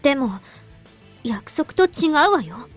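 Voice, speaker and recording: A woman's voice: on the high side, very animated, normal speed, slightly rough, faint music behind, exaggerated voice. An actress playing an uneasy, questioning young woman in a Japanese visual novel.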